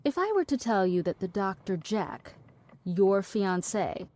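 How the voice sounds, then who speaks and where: Actress playing a needling, philosophical woman for audio drama, soft-toned, pointed and condescending. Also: medium pitch, big pitch swings, a little slow. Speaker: actor.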